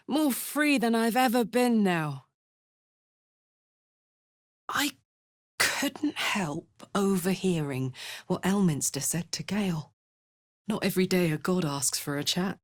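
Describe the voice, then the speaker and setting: A tough tiefling warrior woman from a fantasy video game. She is brash and slangy but warm-hearted and sincere, and she delivers her lines at an unhurried pace. The sound is dry, with no room around it. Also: mid-range voice, animated, no room sound. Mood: sincere, easygoing. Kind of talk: video game character voice acting.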